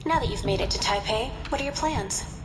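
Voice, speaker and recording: An actress playing a composed, caring young female intelligence handler in a video game, calm and assured. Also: fairly high pitch, a little fast, music in the background, lightly acted. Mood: calm, teasing. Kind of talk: dramatic video game dialogue.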